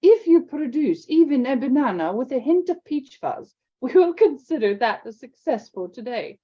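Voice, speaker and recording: Woman putting on a strict elderly headmistress for an audiobook, brisk, over-precise. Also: sits high, sing-song, breathy edge, lo-fi sound, pitched above the performer's own voice, full character voice. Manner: businesslike, brisk, slow and precise commands.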